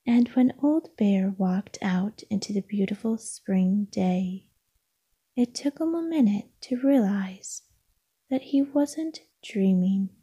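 A woman reading a children's picture book aloud as narrator, in a calming, gentle, hushed bedtime-story manner. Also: mid-range voice, takes it slowly, husky.

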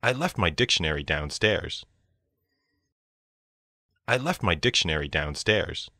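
A man reads simple example sentences with careful, clear delivery. His voice is on the lower side and moves lively up and down. The recording is dry and close. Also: a little slow, no room sound. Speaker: listening practice speaker. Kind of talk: slow read example sentences.

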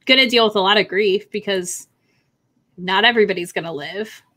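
Young female panelist, bright and sharp, casual and unfiltered in conversation. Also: medium pitch, normal speed. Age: young adult. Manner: informal, profane. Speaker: book discussion panelist. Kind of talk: casual chat. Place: indoor home room on a video call.

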